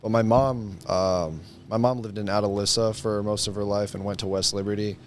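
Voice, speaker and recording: Lowish-voiced American teenage guy, faint noise. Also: fairly even intonation, normal speed. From Iowa, United States. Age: late teens. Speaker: high school senior guest. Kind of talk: casual chat.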